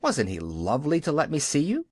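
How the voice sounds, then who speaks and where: A man's voice: on the high side, wildly swooping pitch, fairly quick, a little mellow, husky, no echo, studio quality, exaggerated voice. A man voicing an eager, chattering little girl in an audiobook. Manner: eager, breathless and bubbly.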